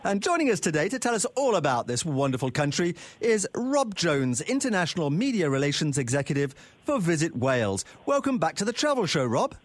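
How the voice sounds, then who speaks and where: A man hosts a radio travel show on a close studio mic, his voice dry and slightly high. His pitch rises and falls a lot as he speaks, warm and expressive. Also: a little fast, no echo. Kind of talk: radio show hosting and interview. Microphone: studio microphone.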